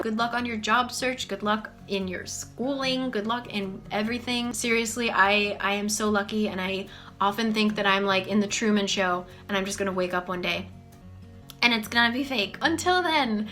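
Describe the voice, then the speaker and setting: Vlogger, woman, 20s, from the US; bright tone, animated casual delivery, soft background music. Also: mid-range voice. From United States. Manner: chatty, lots of "like" and "um", animated. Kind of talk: casual Q&A chat to camera. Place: small bedroom office, indoors.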